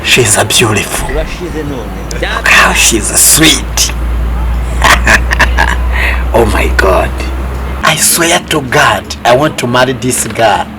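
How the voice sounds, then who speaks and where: A young man, playing an excitable young man who is smitten and then terrified. He acts in an overblown, shrieking comedy-skit style. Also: mid-range voice, slow pace, pauses often, rough recording. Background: music.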